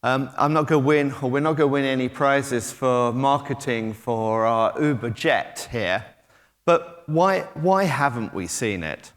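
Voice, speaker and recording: A man's voice: medium pitch, unhurried. A middle-aged British man speaking at a conference, talking conversationally with frequent ums and restarts as he walks the stage. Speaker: conference speaker, technology company head. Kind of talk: conference keynote talk. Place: conference hall. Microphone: stage mic, moving about while talking. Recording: long hall reverb.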